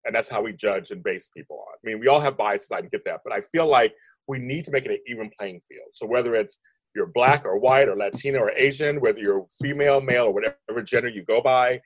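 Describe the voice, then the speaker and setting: A man in his fifties with a deep voice, speaking as a guest in an interview, rambling and emphatic, often restarting his sentences. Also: quick pace, slightly rough, dry recording, low-quality recording. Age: 50s. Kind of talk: animated conversational interview.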